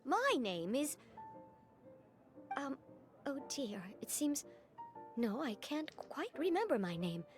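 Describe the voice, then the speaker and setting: Fussy bird spirit, lively pitch. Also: female voice, takes it slowly, long pauses, soft background music, heavily acted. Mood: flustered, polite. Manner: hesitant, wordy, politely formal. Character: plump bird spirit, gentle, fussy, absent-minded. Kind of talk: video game character dialogue.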